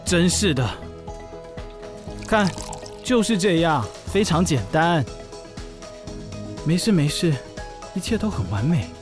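A man's voice: on the high side, sing-song, slow pace, pauses often, over music. An actor voicing an anxious, fussy young male office intern who reassures himself, in an audio drama. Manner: anxious, precise, self-reassuring. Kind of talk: audio drama monologue and dialogue.

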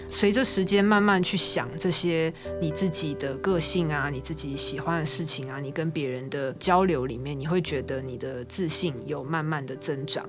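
A woman with a Taiwanese Mandarin accent answers as an interview guest. She speaks reflectively and conversationally, with music clearly playing behind her. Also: low-pitched.

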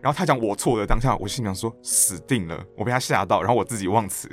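A man's voice: lowish voice, quick pace, faint music behind. A man with a Taiwanese Mandarin accent telling anecdotes as a guest in a casual podcast interview, acting out bits of dialogue as he goes. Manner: animated anecdotes, acting out quoted lines. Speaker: interview guest, stage actor and director.

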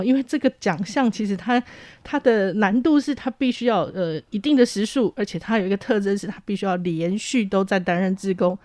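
A woman's voice: mid-range voice, a little mellow, no room sound. Taiwanese Mandarin woman, close dry sound. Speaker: university administrator. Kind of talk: spoken interview remarks.

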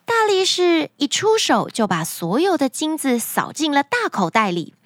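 A woman narrating children's stories as a podcast host, expressive and speaking directly to young listeners. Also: high voice, normal speed, no room sound, clean studio sound. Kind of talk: animated storytelling narration for children.

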